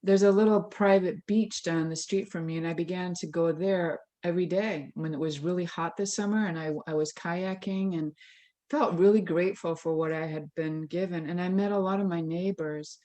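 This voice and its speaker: A woman's voice: lowish voice, steady tone, normal speed, warm tone. A Scottish-born woman with an American accent, reflectively sharing a personal story over a video call. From Scotland. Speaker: congregation member. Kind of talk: casual sharing.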